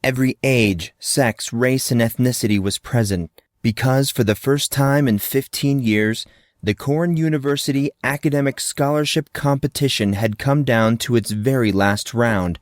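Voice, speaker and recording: A man's voice: mid-range voice, unhurried, no room sound. Man narrating a fantasy audiobook, dry sound. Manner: friendly, storytelling delivery.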